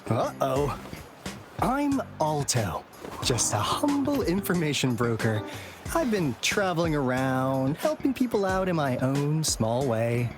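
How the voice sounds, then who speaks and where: A man's voice: fairly high pitch, big pitch swings, slow pace. A man playing a glib young information broker in a video game, boastful and evasive with theatrical salesman patter. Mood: evasive, breezy. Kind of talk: scripted video game acting. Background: music.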